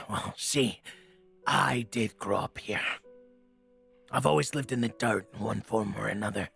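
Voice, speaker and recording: Man playing a gravelly, street-tough henchman. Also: on the low side, measured pace, a bit bright, faint music behind, heavily acted. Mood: earnest, hardened. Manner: casual, blunt, swearing street talk. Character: tough, blunt, street-hardened male henchman, hot-tempered and wry. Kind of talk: audio drama acting.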